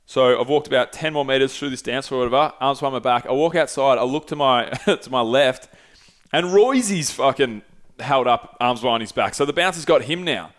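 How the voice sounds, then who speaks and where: A man's voice: medium pitch, fairly quick. A man in his thirties hosting a podcast, telling a story animatedly with lots of swearing. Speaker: podcast host. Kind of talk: casual storytelling. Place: small podcast studio. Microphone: headset with desk mic.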